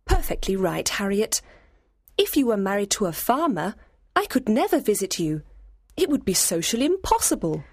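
An actress plays a young gentlewoman from a dramatised storybook, sure of herself and fond of steering her friends. Her voice is husky and very expressive, with a dry close sound. She speaks with poise and firm persuasion. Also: mid-range voice, moderate pace, no room sound. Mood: firm, haughty. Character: confident young gentlewoman, bossy matchmaking friend. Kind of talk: dramatised audiobook acting.

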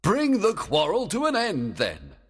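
A man's voice: high voice, huge pitch swings, unhurried, husky, long reverb tail, pitched above the performer's own voice, full character voice. A man voicing a stern, jealous and scheming fairy king, commanding, in a storybook. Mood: angry. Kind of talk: dramatized storybook acting.

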